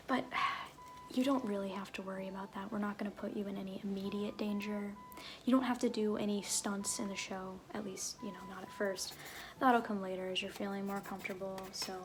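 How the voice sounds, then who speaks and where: A woman playing a flirty, glib circus fool in an ASMR roleplay, half-whispering with halting, offhand, teasing asides. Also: medium pitch, a little hiss or hum, close to an everyday voice. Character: flirty, glib circus fool and sham fortune-teller, playfully evasive.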